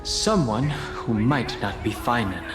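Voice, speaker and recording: Unhurried, cool-headed young warrior. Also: male voice, mid-range voice, over music. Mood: reserved, pensive. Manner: calm, understated, serious. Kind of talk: video game voice acting.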